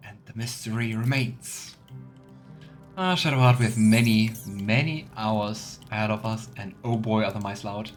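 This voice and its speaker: A man's voice: fairly low pitch, moderate pace, faint music behind. A man doing casual let's play commentary, thinking aloud and musing as he role-plays.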